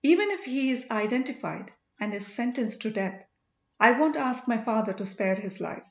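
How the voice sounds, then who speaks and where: A proud, strong-willed young princess acted by a woman reader speaks in a fairly high voice. She is indignant yet devoted, in audiobook dialogue recorded in an echoey room with slightly dull sound. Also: animated, moderate pace. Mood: defiant.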